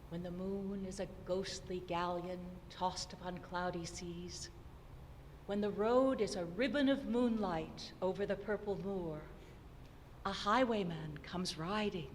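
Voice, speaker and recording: Slow, dramatic woman reciting in a reverberant hall. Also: mid-range voice. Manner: theatrical, deliberate, swinging between hushed and declamatory. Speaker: poetry performer. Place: opera house hall, live performance. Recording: noisy recording in a reverberant hall.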